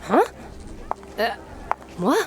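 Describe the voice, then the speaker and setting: A woman playing an excitable, impatient sidekick in a comic sci-fi audio drama, flustered and outspoken. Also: extremely slow, a little hiss or hum.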